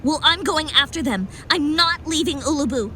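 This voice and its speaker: A woman's voice: high voice, normal speed, pushed and bright, slight background noise. A woman voicing a loyal, brave young heroine in a fan audio drama, earnest and determined.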